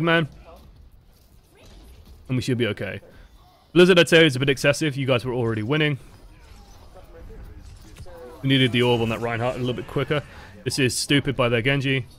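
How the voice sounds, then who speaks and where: Casual young male coach, mid-pitch voice, unhurried, chatty, a bit sweary. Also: a bit bright. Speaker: game coach reviewing footage. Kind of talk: casual gameplay commentary.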